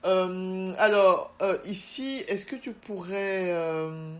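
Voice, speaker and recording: A woman's voice: mid-range voice, slow pace. A woman hosting a podcast, moving between a scripted introduction and casual interview questions.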